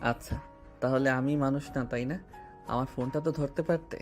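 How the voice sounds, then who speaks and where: A man's voice: medium pitch, steady tone, normal speed, natural voice. A male voice actor playing a playful, devoted young husband in an audio romance drama. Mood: sulky. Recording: music bed underneath.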